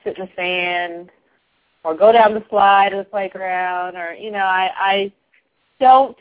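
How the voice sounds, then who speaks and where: Soft-spoken woman on a tinny line. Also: medium pitch, unhurried. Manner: soft-spoken, reflective, laughs often. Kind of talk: casual group discussion.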